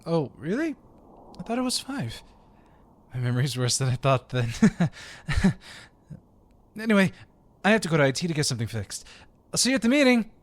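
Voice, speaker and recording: A man's voice: fairly high pitch, sing-song, moderate pace, no echo. A man playing a chatty, eager agent in an audio roleplay, upbeat, friendly and a bit sheepish. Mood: sheepish, agreeable. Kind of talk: audio roleplay acting, one side of conversation.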